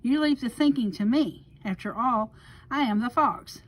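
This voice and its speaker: A woman's voice: a little high, moderate pace, lightly acted, low-quality recording. A woman voicing a sly, smug male storybook fox who talks in a pompous, condescending, theatrical way. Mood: condescending, impatient.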